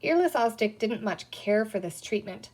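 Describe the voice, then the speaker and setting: A woman reading a children's book aloud as an expressive storyteller. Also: mid-range voice, normal speed. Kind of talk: expressive scripted story narration.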